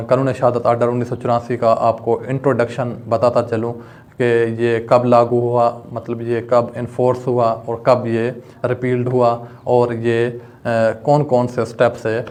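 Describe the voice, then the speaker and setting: A man's voice: medium pitch, darkish tone. Male online law lecturer, Pakistani accent, mid-range voice with little melody, step-by-step teaching. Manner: addresses students directly, explains step by step. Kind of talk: instructional lecture mixing in English legal terms.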